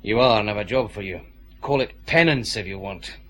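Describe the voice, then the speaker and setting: An actor playing an authoritative detective chief inspector in a radio drama, with a clipped, commanding delivery. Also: male voice, lowish voice, a little fast, sharp tone. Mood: stern. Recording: clear background noise, ambience.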